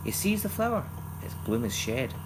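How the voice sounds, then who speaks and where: Scottish man reciting verse, dramatically swooping pitch. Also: normal speed, heavy background noise. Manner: theatrical, expressive storytelling delivery. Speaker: poetry reciter.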